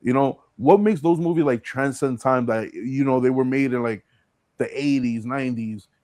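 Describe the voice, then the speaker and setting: A man's voice: mid-range voice, normal speed, a little mellow, no room sound. A man hosting a casual podcast chat, talking informally and conversationally with his guest. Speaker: podcast host. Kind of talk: casual chat, asking questions.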